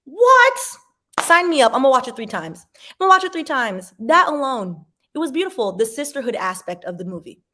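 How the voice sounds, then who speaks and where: A woman in her forties casually chatting to viewers and reading comments, with animated emphasis and sudden jumps in pitch. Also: a little fast. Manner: talks to viewers directly, sips wine.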